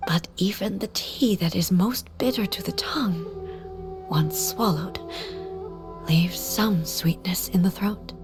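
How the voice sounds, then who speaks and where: A woman playing a solemn, grieving immortal ruler in a video game, speaking formally and softly with measured composure. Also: lowish voice, music in the background. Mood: wistful, grieving. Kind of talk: dramatic video game voice acting.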